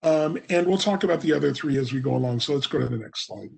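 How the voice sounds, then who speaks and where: A man presenting a remote webinar lecture into a computer microphone, hoarse and coughing now and then, explaining at a measured pace with many fillers. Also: mid-range voice, a little mellow.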